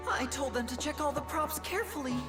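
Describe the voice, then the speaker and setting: A woman's voice: high voice, fast talker, loud music behind the voice, close to an everyday voice. A woman voicing a young male stage magician in a video game, a confident showman with a polished, theatrical way of addressing an audience. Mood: cool bravado. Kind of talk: English video game voice acting.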